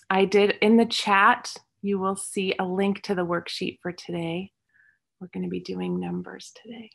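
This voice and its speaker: A cheerful, encouraging middle-aged woman leads a children's lesson from her home. She speaks into a close webcam and repeats each word slowly so the kids can follow. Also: lowish voice, vocal fry. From born and raised in English-speaking countries, Palestinian roots. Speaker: children's storytime host and Arabic teacher. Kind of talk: teaching numbers to young children over a video stream. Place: home room with shelves of toys and books. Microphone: webcam at close range, video call.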